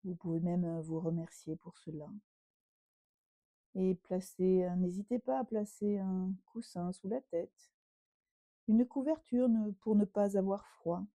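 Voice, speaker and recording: A woman yoga teacher leading a guided relaxation meditation in a gentle, unhurried, instructing way with pauses. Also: medium pitch, dry recording.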